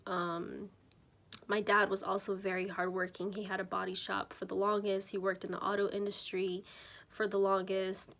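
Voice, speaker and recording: A woman in her twenties, from Mexico, telling her personal faith testimony to camera in a casual, unpolished way with many ums and self-corrections. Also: mid-range voice. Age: 20s. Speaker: church member sharing her testimony.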